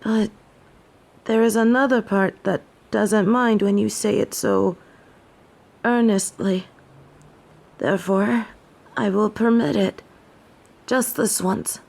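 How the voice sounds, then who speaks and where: Woman voicing a snobby fallen angel lady, slow formal speech, bit of fry, imperious but softening, audio roleplay. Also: mid-range voice, fairly even intonation. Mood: proud, softening. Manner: formal, haughty, theatrical diction. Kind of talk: scripted audio roleplay acting.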